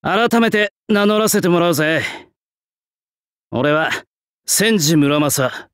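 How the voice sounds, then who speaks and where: Blunt, lively young swordsmith. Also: male voice, on the high side, slow pace, dry recording, studio quality. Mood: proud, upbeat. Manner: rough, blunt, old-fashioned working-class turns of phrase. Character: gruff, plain-spoken young male swordsmith spirit, proud craftsman. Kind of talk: anime-style mobile game character lines.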